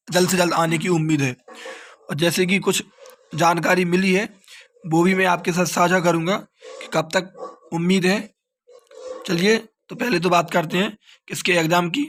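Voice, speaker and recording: A man's voice: high-pitched, steady tone, moderate pace, long pauses, a bit bright, slight background noise. A man, an exam coaching teacher explaining details informally and repeating key points as questions and answers.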